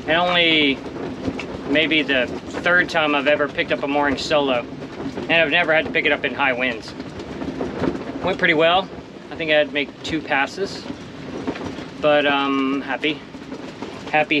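Casual male sailing vlogger, slightly higher voice, laid-back and plain-spoken, recorded on deck with clear wind noise. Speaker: solo sailor and vlogger. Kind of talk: casual vlog to camera. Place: outdoors on a sailboat deck. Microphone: action camera at close range. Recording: wind noise on camera mic. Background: wind and water.

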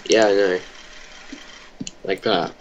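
A young man chatting casually while playing a game online, joking at his own expense and breaking into excited exclamations. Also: sits low, slow pace, dull audio, slight background noise. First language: Welsh. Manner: jokey, self-mocking, bursts of excited exclamations. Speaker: gamer. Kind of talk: casual gameplay chat.

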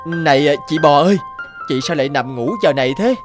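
An actor voicing a proud but well-meaning sun in an animated fairy tale. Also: male voice, on the high side, a little fast, over music, lightly acted. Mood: puzzled.

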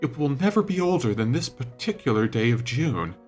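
A man voicing a petulant, melodramatic young gentleman in an audiobook, vain and wounded, speaking in an impassioned, plaintive way. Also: mid-range voice, very animated, a little fast, faint music behind, pitched above the performer's own voice, lightly acted. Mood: mournful.

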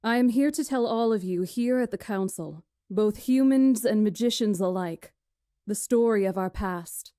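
A composed young witch of legends, played by a woman, speaks in a mellow, dry-recorded voice. She is dignified and measured, like a formal storyteller in a visual novel. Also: mid-range voice, no room sound, natural voice. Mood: commanding.

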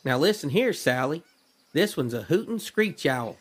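An actor playing a folksy, crusty but encouraging frontier woodsman with a rough voice and rural Western American accent in an audio drama. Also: a little high, sing-song, moderate pace. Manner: folksy, drawling backwoods speech.

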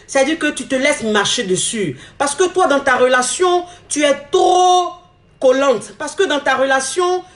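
A woman, a relationship coach speaking to her phone camera with emphatic repetition and a slightly hoarse, cold-touched voice. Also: high-pitched. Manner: emphatic, repeats phrases, gestures a lot while talking. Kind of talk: animated advice monologue to camera. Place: indoor room. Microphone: phone camera at arm's length. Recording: echoey room on phone.

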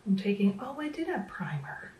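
A woman's voice: medium pitch, big pitch swings, moderate pace, dull audio, a little hiss or hum. A woman in her thirties chatting casually through a makeup tutorial at home, self-deprecating and full of fillers, her voice a little worn. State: slightly losing her voice. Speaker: makeup vlogger. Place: small home room, indoors. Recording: roomy echo, a bit distant from the mic.

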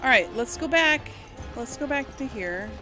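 A woman's voice: sits high, lively intonation, unhurried, sharp tone, soft background music. A woman giving casual let's play commentary, chatting and thinking aloud to her viewers. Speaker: gaming video host.

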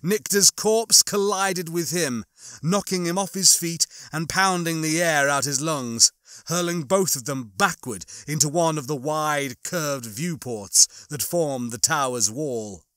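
Bright-voiced man narrating with theatrical emphasis. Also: fairly high pitch, measured pace, no echo, clean studio sound. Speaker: audiobook narrator and channel host. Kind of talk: dramatic scripted narration of a horror novel.

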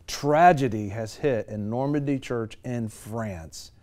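A man's voice: mid-range voice, slow, a little mellow. A middle-aged American man hosting a news show and giving commentary in a studio.

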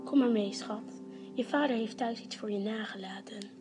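A woman's voice: on the high side, normal speed, over music, natural voice. A woman playing a caring, protective grandmother in an amateur radio play, affectionate and cautioning. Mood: tender.

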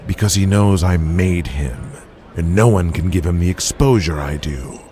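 An actor playing a ruthless, controlling wrestling promoter in an audio drama, speaking in a cold, lecturing manner. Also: male voice, low voice, moderate pace, warm tone, a little hiss or hum, natural voice. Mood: scheming.

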